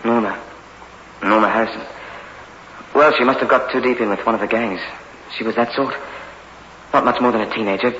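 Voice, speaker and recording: An actor playing a meek, haunted young prisoner in a 1960s radio suspense drama, stammering and pleading nervously. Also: male voice, medium pitch, steady tone, brisk, pauses often, pushed and bright, lightly acted. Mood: subdued, anxious. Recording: echoey, muffled, noisy old radio recording.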